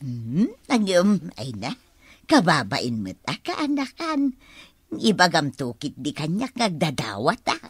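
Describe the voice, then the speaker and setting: A woman playing a scrounging, coaxing village auntie in an Ilocano radio comedy drama, full of exclamations and flattery. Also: deep voice, slow pace, slightly rough, no echo, clean studio sound, heavily acted. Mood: wheedling, grateful.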